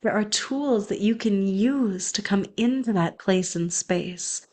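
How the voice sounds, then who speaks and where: A woman's voice: mid-range voice, slow, a little mellow. A young woman, a spiritual coach, speaking in an earnest, flowing, affirming way in an inspirational monologue.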